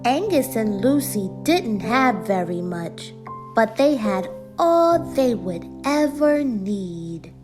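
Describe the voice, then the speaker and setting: A woman reading a children's story aloud in a gentle, measured storytelling manner. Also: a little high, sing-song. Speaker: storybook reader. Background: music.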